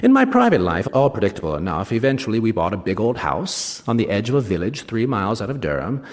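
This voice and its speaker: An actor playing a smug, ageing novelist, reading a short story on stage with wry, self-satisfied, theatrical emphasis. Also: male voice, lowish voice, sing-song, fast talker. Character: smug ageing novelist recounting his betrayal of a friend. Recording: reverberant hall, live stage reading.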